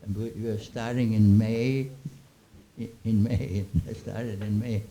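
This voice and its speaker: A man in his nineties, born in Austria with German as his first language, telling old stories in a halting, chuckling way with many restarts. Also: fairly low pitch, steady tone, mellow. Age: 90s. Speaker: interviewee. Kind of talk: reminiscing Q&A.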